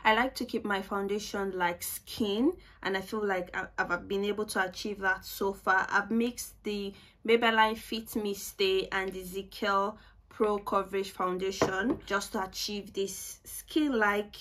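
A woman's voice: medium pitch, steady tone, unhurried, a bit bright. A woman in her twenties chatting casually through a makeup tutorial at home, self-mocking and repeating words for emphasis, recorded by a camera at desk distance. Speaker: beauty vlogger. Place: small home room, indoors.

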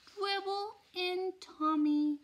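A woman acts out a mischievous toddler boy who talks in slow, drawn-out baby talk. His voice sits far above her own in a read-aloud storybook. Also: extremely high pitch, steady tone, pitched above the performer's own voice, exaggerated voice. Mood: sly.

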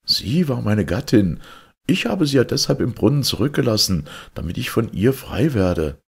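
A poor fairy-tale woodcutter, voiced by a male storyteller, woeful and sly. He sighs and pleads in a very husky voice with big swings of pitch. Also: sing-song, normal speed, heavily acted. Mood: sighing, woeful. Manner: sighing, pleading.

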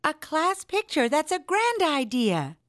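Kindly, lilting cartoon teacher. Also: female voice, high-pitched, huge pitch swings, a little slow, no room sound, studio quality, full character voice. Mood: cheerful, encouraging. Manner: gentle, encouraging, sing-song teacherly. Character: kindly, encouraging female kindergarten teacher. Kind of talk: children's animated cartoon acting.

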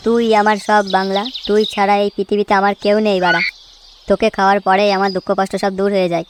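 A woman's voice: medium pitch, flat delivery, fast talker, noisy background, lightly acted. A woman voicing a hot-tempered young male village drunkard in a comedy cartoon, cursing and blustering. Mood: maudlin.

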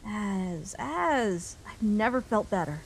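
A woman voicing a caring human child in a comic dub, a protective older sibling who speaks gently and reassuringly. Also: medium pitch, sing-song, slow pace, a little hiss or hum. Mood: cheerful, reassuring.

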